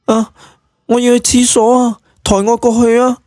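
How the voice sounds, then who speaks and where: Woman acting a high-pitched, bashful, bossy Cantonese young woman. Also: flat delivery, normal speed, a little mellow, no echo, studio quality, natural voice. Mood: flustered, embarrassed. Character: young woman, witchcraft expert, bashful yet bossy and huffy. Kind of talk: Cantonese audio drama acting.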